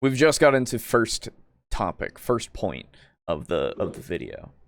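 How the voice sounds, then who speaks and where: Guy chatting on a stream, clean smooth voice, real dry sound, kinda rambly and stop-start. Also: lowish voice, sing-song, no room sound. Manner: halting, wordy, circles back to qualify points. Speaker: podcast host. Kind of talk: casual discussion, commenting on a video for a live stream.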